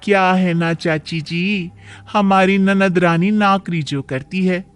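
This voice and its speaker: Man as a snide, high-voiced sister-in-law. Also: fairly even intonation, takes it slowly, a bit bright, smooth, faint music behind. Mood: mocking. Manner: mocking, sarcastic. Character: snide, taunting young sister-in-law of an unmarried woman. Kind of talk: animated moral story dialogue.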